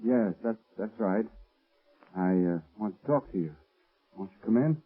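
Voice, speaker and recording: A man's voice: lowish voice, lively intonation, breathy edge. An actor playing a meek, guilt-ridden family man in an old radio drama, speaking hesitantly and nervously. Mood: nervous, conscience-stricken. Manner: hesitant, halting delivery. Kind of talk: 1930s-40s radio drama acting. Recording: muffled, tinny vintage radio recording.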